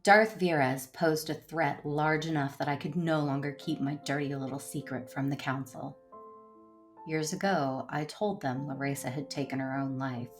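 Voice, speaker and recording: Woman acting a low-voiced, grave Jedi warrior woman. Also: fairly even intonation, moderate pace, faint music behind, natural voice. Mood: brooding, somber. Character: grave, embittered female Jedi warrior, conflicted and resolute. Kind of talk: first-person narration and dialogue in audio drama.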